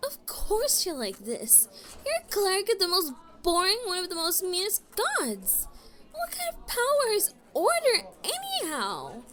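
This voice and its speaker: A woman playing a blunt, excitable halfling spellcaster in a fantasy audio drama, sassy and outspoken. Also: very high-pitched, measured pace, exaggerated voice. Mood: incredulous, scornful.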